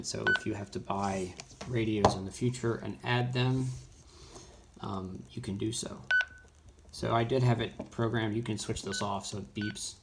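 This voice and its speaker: A man with a creaky, gravelly voice walks through a hands-on tutorial in a loose, informal way. His explanations ramble a little and lean on conversational asides. The recording is clearly noisy, with clicks and typing. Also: on the low side. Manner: informal, rambling explanations with frequent "you know". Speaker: radio tutorial presenter. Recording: noisy, with keyboard and clicking sounds.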